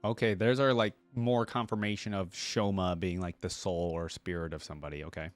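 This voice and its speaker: A man's voice: low voice, steady tone, fairly quick, no echo, soft background music. A man hosting a casual reaction video, enthusiastically commenting and musing aloud on what he hears. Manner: enthusiastic, repeats lines back and muses on them.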